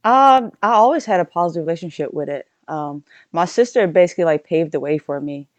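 A young woman from Louisiana with a Southern Louisiana accent, answering interview questions in a relaxed, casual way and often restarting her phrases. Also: lowish voice, a little fast, no echo. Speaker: guest, powerlifter.

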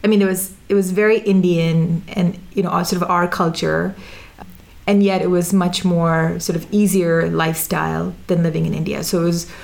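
Woman, low voice, gravelly fry, relaxed conversational storytelling, frequent fillers and restarts. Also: moderate pace. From Sudan, raised in Dubai. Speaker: interview guest.

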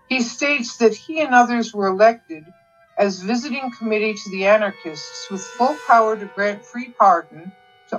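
A woman reading a polemical letter aloud as a podcast reader, in a dramatic style with emphatic, deliberate phrasing. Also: medium pitch, fairly bright tone. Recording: dull lo-fi sound with music behind. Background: music.

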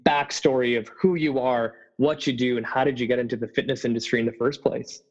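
A man's voice: mid-range voice, fairly even intonation, a little fast, highs cut off. A man hosting a casual podcast interview, talking in an upbeat, hyped-up way. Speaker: podcast host. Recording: loud guitar music behind.

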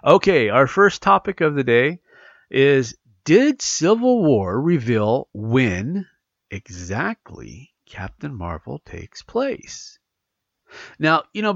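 A congested man hosts a casual podcast, rambling through his points with lots of fillers and restarts. His voice is gravelly and his pitch swings a lot. The recording is dry and a little dull. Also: dry recording. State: congested from allergies. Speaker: podcast host. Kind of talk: casual podcast chat, reading news aloud.

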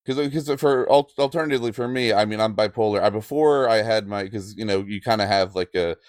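A man with a fairly deep voice talks casually as a podcast co-host. He rambles and swears, putting things bluntly. The sound is dry, with very little room on it. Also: fast, dry recording.

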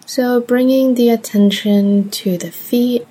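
A woman guides a meditation at a very unhurried pace. Her voice is soft, mellow and a little breathy. She speaks gently, in short measured phrases separated by long pauses. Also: a little high, fairly even intonation, poor audio.

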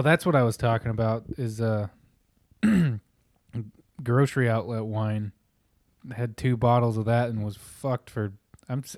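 A man co-hosting a casual chat, talking loosely and profanely with many restarts, his voice a little hoarse and raspy. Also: medium pitch, a little mellow, no room sound, studio quality.

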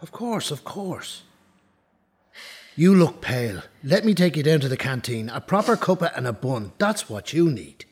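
An actor playing a kindly male prison guard in an audio drama, husky-voiced, fussing and reassuring. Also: fairly high pitch, very animated, moderate pace, darkish tone. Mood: concerned, soothing.

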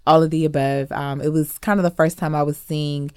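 Young adult female interview guest, low register, conversational delivery, very dry recording. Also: steady tone, brisk, smooth, dry recording. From Cameroon, grew up partly in Maryland. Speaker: interview guest, law clerk.

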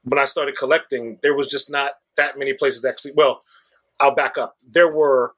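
Husky middle-aged man, chatty. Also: mid-range voice, flat delivery, moderate pace, fairly bright tone. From Chicago, USA. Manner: chatty, explanatory, peppers speech with "like" and "right?". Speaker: comic shop owner, guest. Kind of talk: conversational podcast interview.

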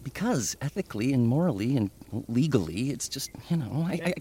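A man's voice: medium pitch, sing-song, a little fast, warm tone, slight background noise. An actor playing an overworked, uneasy male office colleague in an audio drama, casual and stammering. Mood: uneasy, firm. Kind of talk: naturalistic audio drama acting.

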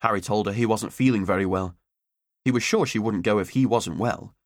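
A man narrates an audiobook in a low voice. The delivery is scripted storytelling, and the recording is dry and close, with no room sound. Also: a little fast, dry recording.

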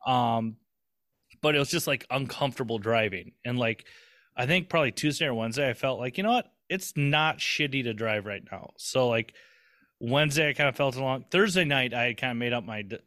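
A man's voice: mid-range voice, lively intonation, a little fast, fairly bright tone, no echo, studio quality. A man co-hosting a podcast in casual chat, rambling and swearing casually with lots of restarts. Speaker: podcast co-host.

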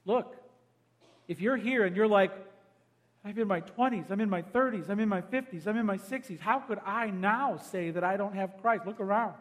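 High-voiced pastor making an urgent altar call. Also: male voice, moderate pace. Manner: urgent, pleading, swells loud then drops to a hush. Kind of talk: evangelistic altar call sermon.

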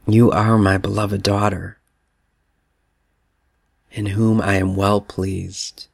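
A man voicing God the Father as a tender, loving male spirit, repeating gentle affirmations. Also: lowish voice, flat delivery, slow pace, gravelly, husky, no echo, clean studio sound, full character voice.

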